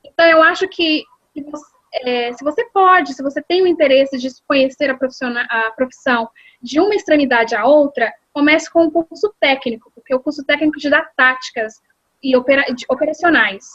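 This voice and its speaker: A woman's voice: sits high, a little fast, fairly bright tone, dry recording, highs cut off. A Brazilian woman working as an executive secretary, answering interview questions thoughtfully with frequent fillers and restarts over a video call. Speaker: executive secretary and English teacher.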